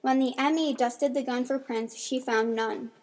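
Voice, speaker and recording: A woman's voice: sits high, fairly even intonation, moderate pace, darkish tone. A woman reading scripted narration for an amateur radio mystery.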